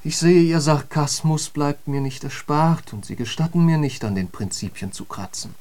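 A man's voice: fairly high pitch, moderate pace, a little mellow, close to an everyday voice. Man acting eloquent, polemical intellectual, declamatory. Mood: fervent. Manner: rhetorical, declamatory, emphatic. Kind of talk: literary radio drama monologue.

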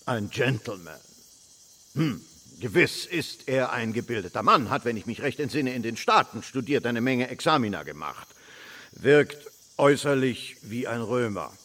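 An actor playing a choleric, pompous British colonial colonel in a German radio play, blustering and indignant with a husky voice. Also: male voice, mid-range voice, lively intonation, moderate pace, fairly bright tone. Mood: grumbling, scornful.

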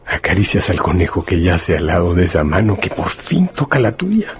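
A man's voice: deep voice, very animated, normal speed. A man delivering dramatic scripted narration for a radio drama, addressing the listener directly. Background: faint music.

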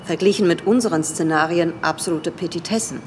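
A woman playing a composed professor who gives a formal, measured, didactic briefing in a radio drama. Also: lowish voice, slight background noise, close to an everyday voice. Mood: serious, explanatory. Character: composed female professor briefing government ministers.

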